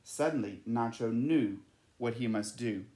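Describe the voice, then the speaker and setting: Male storyteller, children's read-aloud, mid-pitched voice, strongly roomy recording. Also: unhurried, dark tone. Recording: echoey room.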